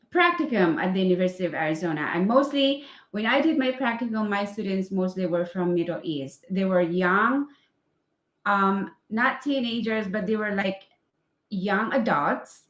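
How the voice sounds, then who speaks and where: A woman from the Philippines with a Filipino accent, an English teacher who learned English as a second language, talking about her life in a halting way with many restarts. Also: medium pitch, a bit bright, reverberant. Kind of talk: personal live stream talk.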